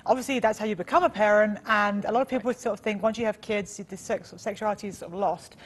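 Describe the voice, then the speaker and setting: A woman with a cold, sounding husky and deep, chatting casually as a show host. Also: steady tone, quick pace. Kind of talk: casual chat.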